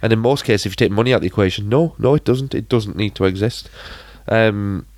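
A British man with a slightly low voice in a dry, close recording. He talks as a podcast co-host in casual film chat, rambling informally and giving blunt opinions. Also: brisk, a little mellow, no echo.

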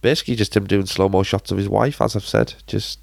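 A man's voice: fairly low pitch, fairly even intonation, fast, gravelly, no echo. A British man co-hosting a casual film podcast, bluntly opinionated with a dry, sarcastic streak. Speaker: podcast co-host.

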